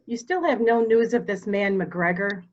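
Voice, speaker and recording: A woman's voice: medium pitch, expressive, moderate pace, close to an everyday voice. A woman playing a composed, formal widow in a murder mystery reading, polite and controlled. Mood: guarded, formal. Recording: dull, lo-fi audio.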